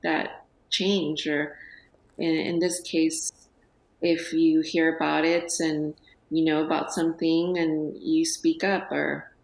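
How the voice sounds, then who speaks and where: A woman in her forties, a guest on a TV talk show, speaking softly and hesitantly with many pauses and fillers. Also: deep voice, flat delivery, creaky, roomy sound, poor audio. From United States. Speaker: guest, business owner. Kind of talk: TV interview. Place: TV studio.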